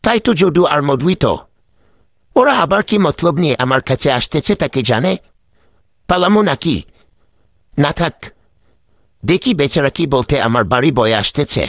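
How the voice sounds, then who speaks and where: A man's voice: on the high side, expressive, normal speed, pauses often, no echo, clean studio sound. A man voicing the witty old village sage in a cartoon, teasing and grumbling to himself. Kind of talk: cartoon voice acting.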